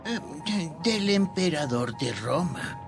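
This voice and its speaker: A man doing a gravelly, high-voiced questioner. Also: animated, takes it slowly. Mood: hesitant. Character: gravelly questioner in an ancient crowd. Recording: voice over light background music.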